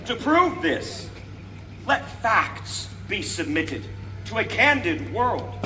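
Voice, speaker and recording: Stately colonial statesman played by a male reenactor, high forceful voice, formal theatrical proclamation, recorded outdoors from a distance. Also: sing-song, very noisy, heavily acted. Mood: grave, accusing. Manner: declamatory, deliberate phrasing, formal. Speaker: historical reenactor. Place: outdoors, speaking from a balcony to a gathering. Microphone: distant camera microphone.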